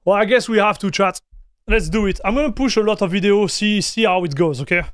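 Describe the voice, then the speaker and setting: A man's voice: high voice, a little fast, fairly bright tone, clean tone, no room sound, studio quality. Chatty male streamer. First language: French. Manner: chatty, laughs often, talks straight to chat. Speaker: streamer reacting to tech news. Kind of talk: casual live-stream chat.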